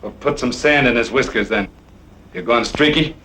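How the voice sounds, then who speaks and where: An actor playing a hard, impatient outlaw boss in an old western film, curt and taunting. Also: male voice, medium pitch, normal speed, natural voice. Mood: curt, scornful. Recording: noisy old film soundtrack.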